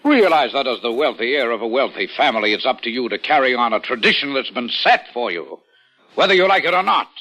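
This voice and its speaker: An actor playing a pompous, scheming and domineering rich uncle with blustering, emphatic delivery in vintage radio style. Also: male voice, mid-range voice, animated, fast talker, pushed and bright, faint music behind. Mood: indignant, preaching. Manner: blustering, emphatic, repeats himself for effect. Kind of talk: 1940s-50s American radio acting. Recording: muffled vintage radio recording.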